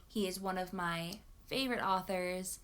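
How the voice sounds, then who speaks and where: A young woman talking casually to camera as a book vlogger, in an expressive, informal way and often correcting herself. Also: lowish voice, moderate pace. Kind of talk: casual chat to camera about books.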